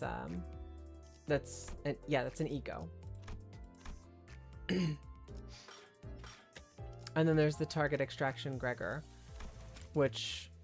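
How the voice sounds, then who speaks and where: A male streamer with a hoarse, tired voice, rambling and thinking aloud as he chats casually while playing a game. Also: medium pitch. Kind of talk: casual gameplay commentary.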